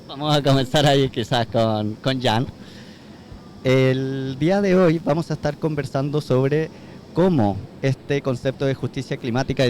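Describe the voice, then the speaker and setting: A man moderating a live conference panel, courteous and brisk as he hands the microphone from speaker to speaker. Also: mid-range voice, a little mellow, a little hiss or hum. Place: conference venue.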